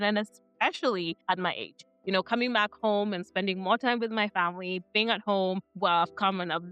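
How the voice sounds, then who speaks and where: A woman's voice: mid-range voice, a bit bright, smooth. A middle-aged woman from Kenya giving an earnest, reflective talk to camera, often restarting her phrases and checking in with "right?". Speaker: vlogger.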